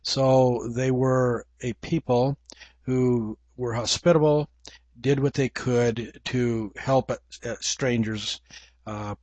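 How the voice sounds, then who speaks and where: An older man with an English accent gives a slow, reflective Bible teaching, pausing often as he thinks aloud. His voice sits at an ordinary male pitch. The sound is dry and close, with no room around it. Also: steady tone, darkish tone, no echo, highs cut off. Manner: measured, reflective, with frequent pauses and fillers. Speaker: preacher.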